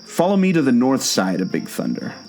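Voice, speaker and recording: A man's voice: mid-range voice, big pitch swings, brisk, darkish tone, soft background music. A man playing a knowing, patient guide who tells park legends in a scripted audio drama. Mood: knowing, mysterious. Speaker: storytelling guide.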